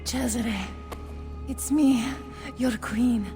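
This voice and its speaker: A woman's voice: fairly high pitch, drawn-out words, over music. An actress playing a proud, lovesick noblewoman in a video game, wounded and emotional. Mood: pleading. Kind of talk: dramatic video game cutscene acting.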